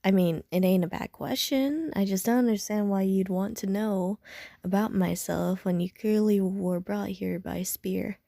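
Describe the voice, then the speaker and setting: A woman acting a mellow, self-deprecating gamer girl. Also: mid-range voice, steady tone, a little slow, gravelly, no echo. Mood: casual, hesitant, then guarded, flustered. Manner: hesitant, slangy, dry, self-mocking. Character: casual, self-deprecating, slightly prickly young woman gamer. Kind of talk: intimate audio roleplay, casual chat to the listener.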